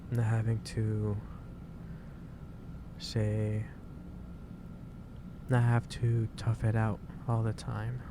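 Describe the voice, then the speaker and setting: Male, low-pitched, gentle boss roleplay in ASMR style, halting advisory delivery, over clearly noisy ambience. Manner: hesitant, halting, pausing mid-sentence, gently advising. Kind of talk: soft ASMR roleplay, improvised monologue to the listener.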